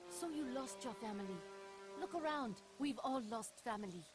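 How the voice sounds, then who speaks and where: Cool woman resistance agent, over loud music. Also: high-pitched, lively intonation, moderate pace. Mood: firm, challenging. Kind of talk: video game cutscene acting.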